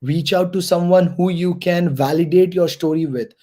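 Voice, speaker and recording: A man with a slightly high, mellow voice leads an online career workshop for students. He coaches patiently, repeats his key points and keeps checking in with the audience. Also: normal speed. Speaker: mentor presenting a career workshop.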